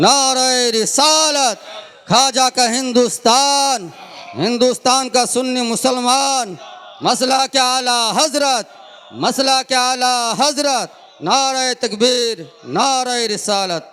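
Man, very high-pitched, slow drawn-out shouted slogans, rallying, live gathering with crowd noise. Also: steady tone, clean tone. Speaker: slogan leader at a religious gathering. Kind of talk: chanted religious slogans.

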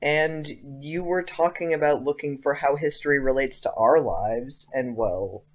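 An actor playing an earnest, persistent teenage schoolboy with casual, youthful phrasing in an audio drama. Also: male voice, a little high, unhurried, lightly acted. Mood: earnest, insistent.

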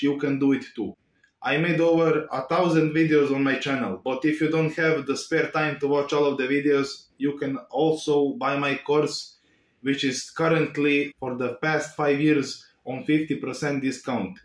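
A young man putting on an earnest motorcycle vlogger with broken English and a Bulgarian-tinged accent, talking slowly and preachily in a small room. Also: a little high, echoey room, natural voice. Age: young adult. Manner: earnest, slow, preachy, repeats catchphrases. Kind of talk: parody vlog impression.

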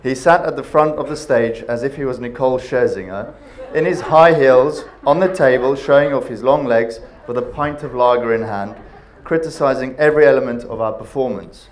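A man in his thirties with a South African accent, giving a jokey best man speech from notes into a handheld mic in a large panelled hall. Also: medium pitch. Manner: jokey, reading from notes, pausing for laughs. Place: large wood-panelled banquet hall, indoors.

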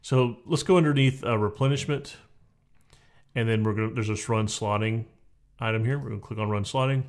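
A man in his forties giving a relaxed, conversational software walkthrough from a home office, with frequent fillers and restarts. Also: fairly low pitch, fairly quick. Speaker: software tutorial presenter. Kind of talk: casual screen-recorded software walkthrough.

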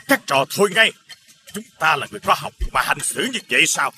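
A man voicing a kind, principled village scholar in an animated folktale, earnest and instructive in manner. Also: on the high side, moderate pace. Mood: scolding.

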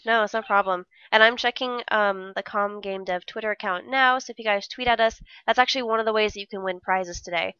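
A woman's voice: medium pitch, fairly even intonation, a little fast, few pauses, pushed and bright, smooth, no room sound, lo-fi sound. A young woman co-hosting a casual live stream, upbeat and enthusiastic, sounding stuffy from a cold, on a headset mic. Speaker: co-host of a game dev stream.

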